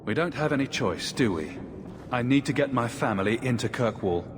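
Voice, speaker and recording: An actor playing a young refugee hero in a video game, earnest, direct and questioning. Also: male voice, medium pitch, expressive, a little fast, background noise, natural voice. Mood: concerned.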